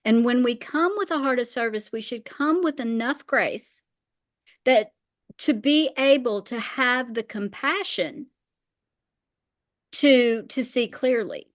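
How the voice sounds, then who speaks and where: High-voiced woman, emphatic faith teacher. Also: slow. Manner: emphatic, repeats phrases for weight. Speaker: interview guest, faith teacher.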